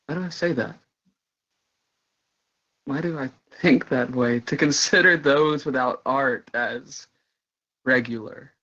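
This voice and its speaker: Slow, yearning man acted out, halting and brooding. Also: medium pitch, pushed and bright. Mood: searching. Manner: halting, searching, emphatic repetition. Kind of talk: dramatic theatrical monologue. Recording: dull lo-fi conference call audio.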